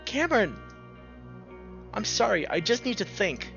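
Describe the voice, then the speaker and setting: A man's voice: high voice, very animated, measured pace, creaky, a bit bright, highs cut off, soft background music, voice pushed up, full character voice. Man voicing a strained, pleading big bear boyfriend. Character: big male bear, anxious, caring boyfriend.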